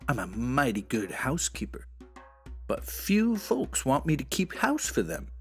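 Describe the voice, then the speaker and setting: A man voicing a sly, persistent storybook skunk who coaxes quietly for shelter. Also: medium pitch, wildly swooping pitch, faint music behind. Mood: coaxing. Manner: quiet, slow, coaxing.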